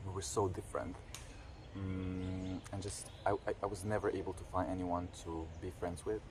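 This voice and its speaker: A man's voice: low voice, little pitch movement, moderate pace, noisy background. A man of twenty-one talking casually to camera in a reflective vlog, hesitant, with many fillers and restarts. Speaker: vlogger.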